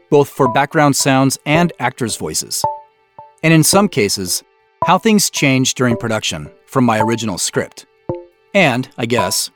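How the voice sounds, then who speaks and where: A man with a mid-pitched, very animated delivery hosts a behind-the-scenes commentary. He explains things reflectively, with music clearly playing behind him. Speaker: podcast host, writer and producer. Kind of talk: director's commentary narration.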